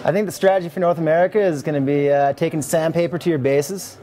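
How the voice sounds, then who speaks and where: A relaxed young man from Canada talks shop in an easygoing, chatty way. His voice sits a bit high but sounds mellow and soft-edged, recorded indoors in a lodge room. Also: fast talker. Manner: easygoing, laid-back, laughing often. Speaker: pro skier. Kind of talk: casual chat.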